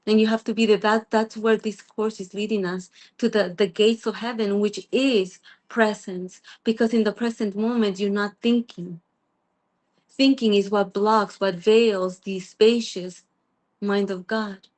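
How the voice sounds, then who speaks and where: A woman's voice: mid-range voice, fairly even intonation. Earnest middle-aged woman from Peru. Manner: earnest, halting with frequent restarts and soft laughs. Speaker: guest sharing her spiritual journey. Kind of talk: reflective personal sharing in a group call.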